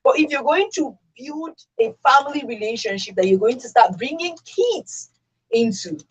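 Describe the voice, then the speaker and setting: A woman's voice: high-pitched, expressive, moderate pace, slightly rough, highs cut off. A Nigerian woman hosting a radio talk discussion, speaking emphatically and with strong opinions, repeating phrases to drive her points home. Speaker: radio show host.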